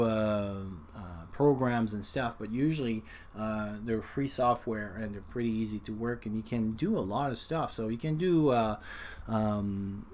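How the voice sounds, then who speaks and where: A man's voice: mid-range voice, a little mellow. A man talking off the cuff in a rambling, explanatory vlog style, often stopping to correct himself and restart his sentences. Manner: halting, frequent self-corrections and restarts. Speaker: vlogger, amateur radio hobbyist. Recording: noticeable background noise.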